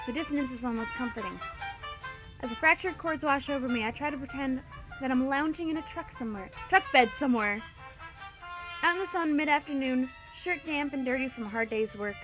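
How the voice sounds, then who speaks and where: A woman with a congested voice reading the lines of a quiet, weary male drifter in a story game. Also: fairly quick, over music, close to an everyday voice, poor audio. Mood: subdued. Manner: low, subdued reading.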